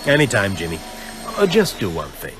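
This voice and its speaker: Genial, very animated mortician. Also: male voice, on the low side, normal speed. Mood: curious, obliging. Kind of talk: radio drama acting.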